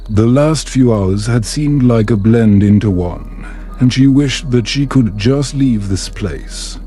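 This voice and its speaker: A man narrating a calm bedtime story in a measured, soothing storybook manner. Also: medium pitch.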